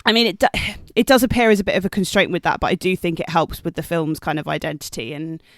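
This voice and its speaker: High, very clear-voiced man discussing film. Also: steady tone, quick pace, no echo. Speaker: podcast co-host and film critic. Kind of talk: casual analytical film discussion.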